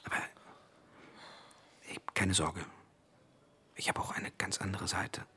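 An actor playing a shameless, crude oversharer in a radio play, hoarse and unembarrassed, speaking deliberately. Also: male voice, low voice, level tone, sharp tone, whispered, heavily acted. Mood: shameless, confiding. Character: shameless oversharing man, crude but insisting he is empathetic.

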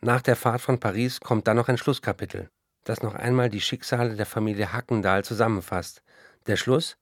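An actor in a radio play voicing an embattled, wry German novelist of the 1930s, reading out letters and diary entries. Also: male voice, on the low side, steady tone, fairly quick, no echo, clean studio sound. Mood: businesslike.

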